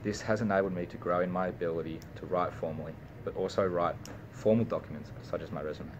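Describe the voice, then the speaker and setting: A man's voice: sits low, steady tone, fast talker, lo-fi sound, noisy background. A young Australian man, a university student, reading a prepared personal speech with small slips and restarts. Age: young adult.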